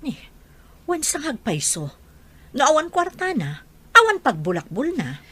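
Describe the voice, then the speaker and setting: A woman's voice: high-pitched, wildly swooping pitch, unhurried, sharp tone, full character voice. A woman playing a doubting, defensive middle-aged mother in a radio drama.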